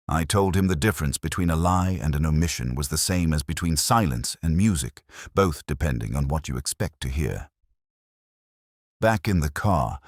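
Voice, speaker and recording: A man reading first-person detective fiction as narrator, in a measured, reflective, literary manner. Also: rumbling low, no room sound, studio quality.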